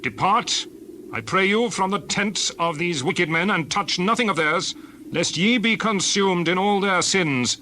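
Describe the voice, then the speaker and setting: A man's voice: sits high, expressive, unhurried, bright, forceful tone, slight background noise, full character voice. An actor playing an earnest, urgent prophet leading his people, with declamatory, emphatic delivery in a dramatized scripture reading.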